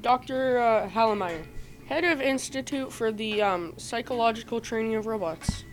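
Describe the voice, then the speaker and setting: A teenage girl playing a courteous, earnest factory manager in an amateur radio play, reading haltingly with many ums. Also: on the high side, natural voice, rough recording. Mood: courteous, formal.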